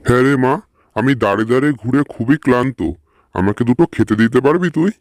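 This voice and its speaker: A man's voice: medium pitch, expressive, quick pace, no room sound, studio quality, natural voice. A man acting a middle-aged, kindly village elder in a cartoon folk tale, over faint music. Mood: grateful, kindly. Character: village father and wandering hungry holy man.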